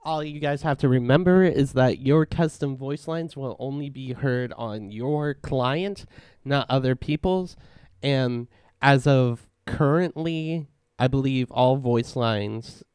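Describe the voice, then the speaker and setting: A man gives a casual, step-by-step software walkthrough in a smooth, resonant voice. The sound is dry and close, with no room around it. He keeps a relaxed, instructive tone. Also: medium pitch, slow, no echo. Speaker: tutorial host.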